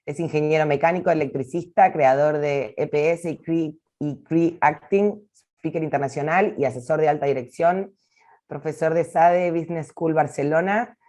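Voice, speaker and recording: A woman's voice: deep voice, moderate pace, warm tone. A woman giving a formal welcome as host of an online event and introducing a guest speaker.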